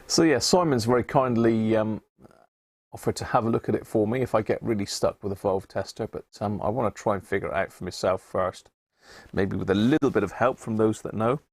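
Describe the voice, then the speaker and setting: A man with an English accent and a gravelly voice, hosting a hobby electronics live stream in a chatty, rambling way, thinking aloud as he works. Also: lowish voice, normal speed, no room sound, clean studio sound. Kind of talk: casual live-stream chat while working at a bench.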